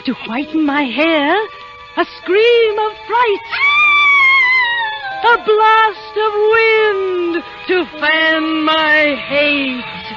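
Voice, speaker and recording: Evil queen turned old hag, drawn-out menacing delivery, muffled vintage radio sound with music behind. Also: very high-pitched, lively intonation, exaggerated voice. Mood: frenzied incanting. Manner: theatrical, drawn-out, menacing incantation. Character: wicked vain queen turning into a cackling old hag witch. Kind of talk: 1930s-40s radio acting.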